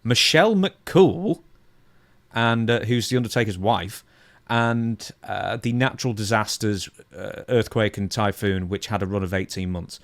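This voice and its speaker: A man co-hosting a podcast in casual discussion, measured and dryly understated as he runs through details. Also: on the low side, lively intonation, no room sound. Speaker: podcast co-host.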